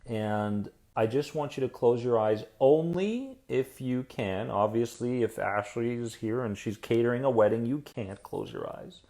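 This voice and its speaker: A man hosting a live stream as an anxiety coach, upbeat and encouraging as he answers viewer comments, then slow and soothing in a guided visualization. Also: mid-range voice, animated, mellow. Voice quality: soothing radio-style voice.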